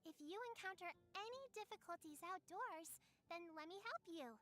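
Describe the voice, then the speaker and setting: A woman's voice: extremely high pitch, normal speed, bright, forceful tone, faint music behind. A woman voicing a polite, nurturing little girl with childlike eagerness, a character in a video game. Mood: polite, caring. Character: cheerful caring little girl, young adepti disciple.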